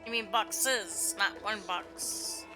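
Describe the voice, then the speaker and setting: A woman's voice: medium pitch, slow. A woman streamer casually reacting to a game in a teasing, playful way. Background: game music.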